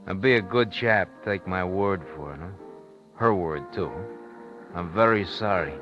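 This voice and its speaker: An actor playing a polite, placating, apologetic stranger in a 1950s radio drama. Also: male voice, deep voice, measured pace. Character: apologetic, smooth-talking male stranger in a bar. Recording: muffled 1950s radio recording, music behind.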